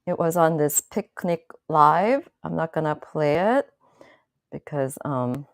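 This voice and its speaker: A woman hosting her own channel, chatting casually to viewers in a slow, thoughtful way with many fillers and restarts. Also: low-pitched, no echo, clean studio sound. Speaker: channel host. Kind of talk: casual live-stream talk to viewers.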